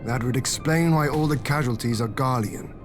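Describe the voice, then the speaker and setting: A man playing a composed, dryly wry adventurer in a video game, speaking in measured, formal, old-fashioned phrasing. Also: medium pitch, natural voice. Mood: measured, serious. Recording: game dialogue under clear background music. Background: game music.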